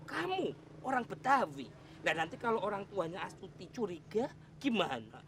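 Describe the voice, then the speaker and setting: A man's voice: high-pitched, sing-song, moderate pace, fairly bright tone, slight background noise. A man with a Central Javanese accent, eager and flustered, acting in a TV sitcom with music behind.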